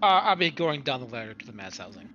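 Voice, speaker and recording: A man's voice: mid-range voice, very animated, fast, bright, forceful tone. A man bantering in character as a player in a tabletop roleplaying game. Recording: dull lo-fi sound.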